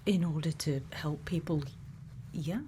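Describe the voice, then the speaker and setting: A woman doing a soft-spoken, often whispered ASMR roleplay as a caring scientist, speaking gently and without hurry in a soothing way. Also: deep voice, a little slow. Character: sweet, caring scientist speaking to a robot friend. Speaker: ASMR performer playing a scientist. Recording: faint background noise.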